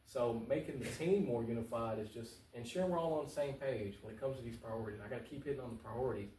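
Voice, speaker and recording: Fast-talking man, echoey room. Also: mid-range voice, warm tone, slight background noise. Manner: passionate, informal, repeats phrases for emphasis. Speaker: interview guest, local political candidate. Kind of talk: casual, earnest talk-show interview answers. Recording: roomy echo, distant mic.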